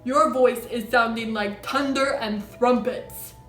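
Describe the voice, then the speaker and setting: A woman voicing a big, friendly, excitable male giant from a children's storybook, with muddled grammar and made-up words. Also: a little high, slow pace. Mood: pained, alarmed. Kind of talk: children's storybook character reading. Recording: roomy echo, music behind.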